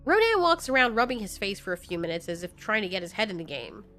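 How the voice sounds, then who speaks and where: A woman's voice: medium pitch, very animated, quick pace, sharp tone, soft background music. A woman storyteller giving dramatic scripted narration in an informal, candid way.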